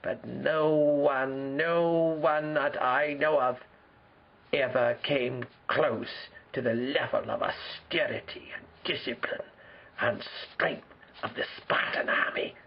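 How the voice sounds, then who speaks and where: A man playing a proud, solemn ancient Spartan king who speaks formally and deliberately in an amateur role-play interview. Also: medium pitch, steady tone, lo-fi sound. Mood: stern, proud.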